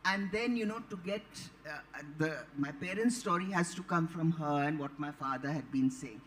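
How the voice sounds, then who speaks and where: An elderly woman with an Indian accent speaks as an author on a live panel. She tells her stories in a deliberate, articulate manner, often wandering into side remarks before returning to the point. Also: low-pitched, slight background noise. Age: late 70s. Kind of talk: anecdotal storytelling at a live panel.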